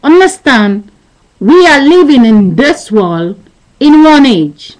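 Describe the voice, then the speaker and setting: A woman in her thirties with a high voice speaks into a webcam mic. She lectures in an insistent, repetitive way, circling back over the same points. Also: expressive, takes it slowly, rough recording. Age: 30s. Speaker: vlogger. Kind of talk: rambling philosophical webcam monologue.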